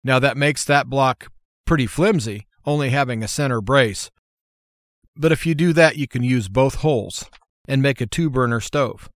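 A man's voice: medium pitch, normal speed, dry recording, clean studio sound. A man giving an unscripted how-to talk as a host, in a folksy, plainspoken, step-by-step manner. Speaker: host of a survival skills show.

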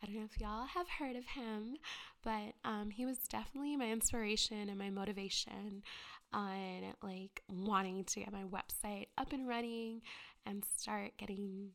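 A young woman with a stuffy cold, chatting softly and half-whispering as a ghost story podcaster, rambling with many fillers and a girly voice. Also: mid-range voice, steady tone, normal speed, creaky. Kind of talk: soft whispered casual chat.

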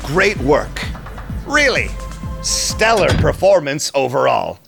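A smug vampire club owner in an audio drama. His voice is high for a man and swoops theatrically. He takes his time, savoring his own schemes with suave calm. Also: slow pace, vocal fry, soft background music, exaggerated voice. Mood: pleased, commanding. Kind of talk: audio drama narration and dialogue.

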